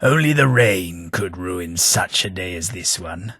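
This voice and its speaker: A wry undead gentleman. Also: low voice, expressive, measured pace, slightly rough, dry recording, full character voice. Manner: dry wit, formal polite phrasing. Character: old undead London gentleman companion, wry and refined. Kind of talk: game companion voice lines. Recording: dry close studio recording.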